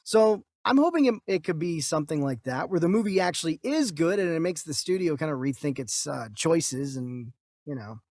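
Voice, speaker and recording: A woman's voice: low voice, lively intonation, normal speed, a little mellow, no echo. Dry-sounding woman chatting as podcast co-host. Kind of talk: casual movie news discussion.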